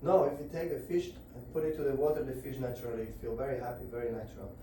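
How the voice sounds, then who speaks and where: A man giving a devotional scripture lecture to a group indoors, halting and searching for words as he explains. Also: mid-range voice, steady tone, dark tone, slight background noise. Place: indoor gathering room. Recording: very echoey room, voice far off.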